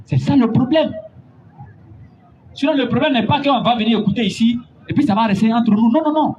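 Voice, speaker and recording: A man preaching a sermon, building to emphatic, drawn-out points. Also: extremely high pitch, darkish tone. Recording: dull lo-fi recording with faint noise.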